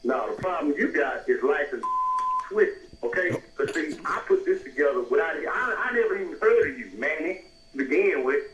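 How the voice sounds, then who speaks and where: A man's voice: fairly high pitch, very animated, moderate pace. A man arguing heatedly on the phone in an indignant, combative, emphatic way. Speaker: prank call recipient. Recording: muffled, distant, echoey noisy phone line.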